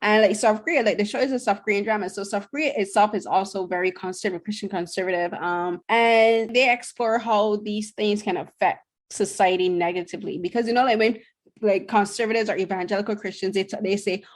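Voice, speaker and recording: A woman's voice: mid-range voice, fairly even intonation, brisk. Chatty woman from Barbados, podcast co-host. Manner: chatty, many fillers and restarts. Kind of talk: casual podcast chat, reviewing shows.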